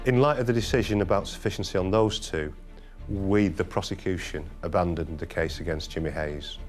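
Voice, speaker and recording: A man with a deep voice speaks as a documentary interviewee from the prosecution side. He recounts a criminal case unscripted, with music behind him. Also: steady tone, fairly quick.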